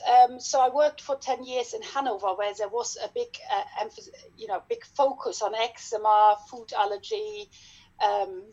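A woman's voice: a little high, darkish tone, highs cut off. A middle-aged woman with a German accent, a dermatologist explaining her field carefully as a podcast guest. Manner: careful, explaining step by step with pauses.

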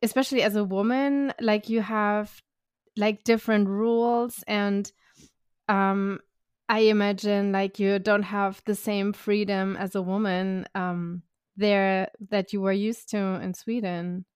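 A woman's voice: mid-range voice, level tone, slow, a little mellow, smooth, no room sound, studio quality. A woman hosting a podcast, talking in a curious, casual way with many fillers while interviewing a guest on a call. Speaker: podcast host.

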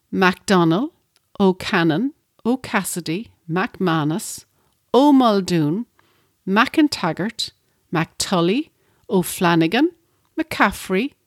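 A woman with a lowish voice reads a prepared history segment in a steady, measured way. The sound is dry and close, with no room echo. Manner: measured, reading from a script. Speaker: podcast co-host. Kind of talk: scripted narration.

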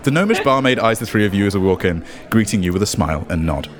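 A man with a lowish voice hosts and narrates as game master. He is playful and casual with a theatrical streak, over clearly audible music. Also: expressive, fast talker. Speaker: game master, podcast host. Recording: music under the voice.

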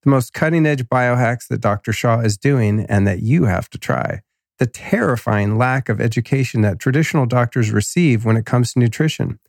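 A man's voice: medium pitch, vocal fry, a little mellow, no echo, clean studio sound. Laid-back slangy man, a bit nasal. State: slightly nasal from a cold. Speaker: podcast host. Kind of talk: casual podcast intro and ad reads.

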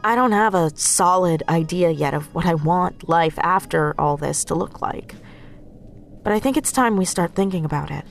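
A woman's voice: on the low side, moderate pace, creaky, faint music behind. A woman playing a practical, thoughtful tech expert in a naturalistic audio drama.

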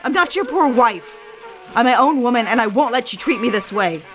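A woman's voice: on the high side, animated, fairly quick, music in the background, lightly acted. A woman acting an audio drama as a defiant, indignant independent woman, with an emotional, emphatic delivery. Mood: defiant, furious.

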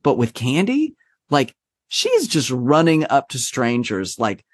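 A man from Texas speaks at a middle pitch in a dry, close recording. He talks as a guest about his drag performing, rambling and self-deprecating with plenty of animated asides. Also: unhurried, slightly rough, no room sound. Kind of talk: conversational interview.

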